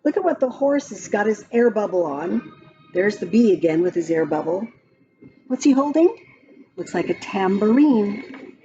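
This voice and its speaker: A woman reading a children's picture book aloud in an expressive, sing-song way, adding chatty asides about the pictures. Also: medium pitch, moderate pace. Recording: slightly dull lo-fi, music behind. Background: music.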